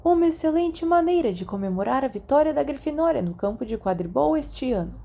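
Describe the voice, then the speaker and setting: A woman voicing a genial old male wizard headmaster giving grand, measured speeches in a storybook reading. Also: sits high, lively intonation, vocal fry, warm tone, voice pushed up. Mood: proud, genial.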